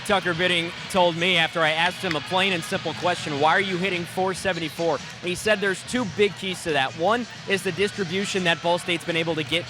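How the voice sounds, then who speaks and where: A man's voice: high voice, fairly even intonation, a little fast, hardly any pauses. A man delivering a live sideline report from courtside at a volleyball match. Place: courtside in sports arena. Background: music and court noise.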